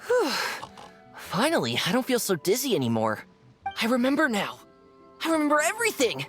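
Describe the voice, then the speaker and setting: A woman's voice: on the high side, wildly swooping pitch, moderate pace, soft background music. A woman voicing a devoted, childlike imaginary friend in a video game, speaking in an earnest, emotive way. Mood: relieved, grateful. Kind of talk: animated video game voice acting.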